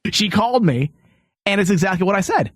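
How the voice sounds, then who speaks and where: Higher-pitched man hosting a comedy show. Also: no room sound, clean studio sound. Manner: animated storytelling, acting out quoted exchanges. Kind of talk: casual, uncensored comedy banter.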